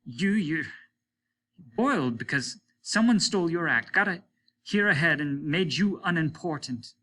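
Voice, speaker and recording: An earnest space crewman in a storybook, defying his superior, played by a male reader. The delivery is impassioned and halting, with a pleading edge. The tone is bright and somewhat raised. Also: a little high, lively intonation, voice pushed up. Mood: indignant. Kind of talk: dramatic audiobook reading.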